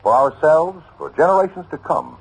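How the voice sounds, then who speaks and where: A man's voice: fairly high pitch, sing-song, moderate pace, breathy edge, low-quality recording. A man reading a dramatic scripted public service message in vintage radio style, earnest and emphatic.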